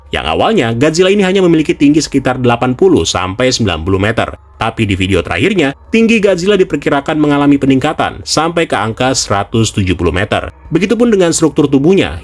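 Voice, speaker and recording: A man narrates a semi-casual scripted explainer, speaking directly to viewers in a conversational way. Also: medium pitch. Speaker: video narrator. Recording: music behind the voice. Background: background music.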